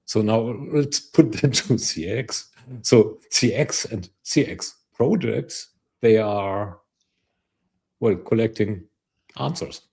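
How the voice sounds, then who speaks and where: This is a male co-host with a lowish, gravelly voice. He speaks very slowly and thinks aloud. He chuckles between phrases, and the tone is husky. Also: animated, darkish tone. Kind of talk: casual video-call interview.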